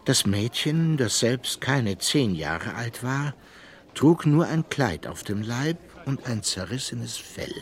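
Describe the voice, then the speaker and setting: Wistful storyteller, children's radio play, very slow measured pace, lively intonation. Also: male voice, mid-range voice. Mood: suspenseful. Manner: measured, expressive narrator. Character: wistful storyteller, eldest brother recalling a fairy-tale adventure.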